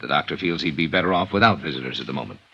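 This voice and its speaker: An actor playing a courteous but firm hospital attendant in a 1950s radio drama, with an officious manner. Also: male voice, rumbling low, racing, vocal fry. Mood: polite, firm. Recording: muffled, noisy vintage radio recording.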